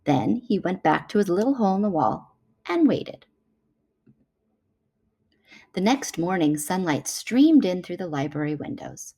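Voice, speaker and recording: Woman reading a storybook, lively pitch swings. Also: unhurried, gravelly, long pauses, breathy edge. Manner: animated storytelling. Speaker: children's book reader. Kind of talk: expressive picture book read-aloud.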